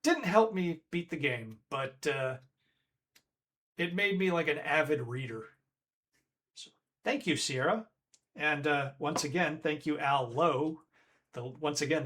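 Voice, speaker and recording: A man in his forties chats casually as a stream host, rambling along and correcting himself as he goes. His voice sits somewhat high for a man, and he talks at an unhurried, slow pace. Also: fairly bright tone. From Northern Ireland. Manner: rambling, self-correcting, chatty. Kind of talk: casual chat on a retro game stream.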